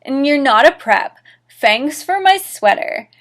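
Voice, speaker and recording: A woman's voice: high-pitched, sing-song, slow pace, fairly bright tone, voice pushed up, exaggerated voice. A woman voicing a bratty, melodramatic goth teenage girl narrator, petulant and indignant in a story reading.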